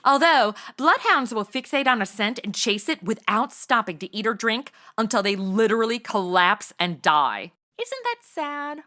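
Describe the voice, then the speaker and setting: A woman's voice: fairly high pitch, sing-song, normal speed, few pauses, bright, forceful tone. A woman playing a chatty, meddling modern podcaster sidekick in a comedy audio drama, sassy and casual. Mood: breezy.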